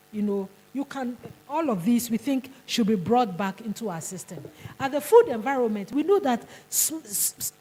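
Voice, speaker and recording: Ghanaian woman, conference speaker; mellow, husky, fairly high voice, emphatic with frequent restarts. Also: rough recording. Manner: emphatic, repeats words and restarts. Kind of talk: conference presentation. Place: conference hall.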